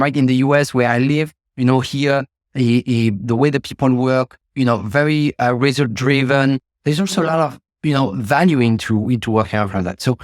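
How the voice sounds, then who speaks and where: A man's voice: medium pitch, no room sound. French-accented man, dry close sound. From France. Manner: reflective, many fillers and restarts. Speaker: guest, ecommerce logistics executive. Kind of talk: podcast interview.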